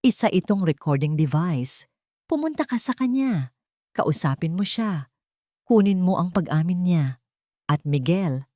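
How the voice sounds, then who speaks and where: A man's voice: sits high, lively intonation, a little slow, long pauses, darkish tone, no echo, studio quality. A woman voicing a stern older father, a wealthy patriarch who speaks in a hard, commanding, emotionless way in a dramatic story.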